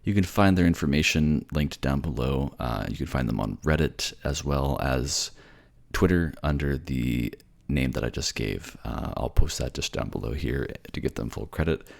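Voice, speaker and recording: A man's voice: rumbling low, moderate pace, creaky, few pauses. A man who works as a voice actor, casually addressing his listeners in a polite, hesitant, self-deprecating way. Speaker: voice actor, channel host.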